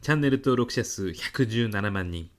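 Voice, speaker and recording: A man in his fifties playing an earnest department manager who presents in a young woman's girlish manner, in polite, expressive business speech for a comedic radio drama. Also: on the low side, moderate pace, close to an everyday voice. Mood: earnest, chatty. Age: 50s.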